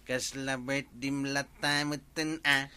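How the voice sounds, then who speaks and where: A man's voice: mid-range voice, level tone, slow pace, sharp tone, clear, resonant tone. A man playing a jolly village drinking buddy in an Ilocano comedy drama, with an Ilocano accent.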